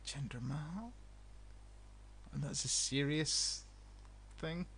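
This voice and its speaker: A man's voice: a little high, slow, a bit bright, a little hiss or hum. A man co-hosting a wrestling podcast, chatting in a blunt, playful, sweary way. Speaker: podcast co-host. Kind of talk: casual wrestling chat.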